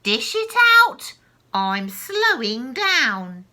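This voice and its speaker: A wild, giddy old grandmother in a storybook, with a screechy voice that shoots way up high. She shrieks and demands more, bright and sharp at the top. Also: big pitch swings, drawn-out words, heavily acted. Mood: gleeful, shrieking. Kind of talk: storybook read-aloud.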